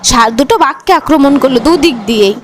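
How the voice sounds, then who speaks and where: A woman's voice: sits high, expressive, fast, a crowd in the background. A woman narrating a children's moral tale as its storyteller.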